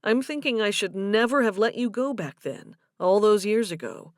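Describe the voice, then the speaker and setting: A woman reader takes the part of an honest man in love in an audiobook. The delivery is earnest, gentle and measured. The sound is dry and close, with no room around it. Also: medium pitch, no echo, lightly acted. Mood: confessing. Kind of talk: audiobook character dialogue.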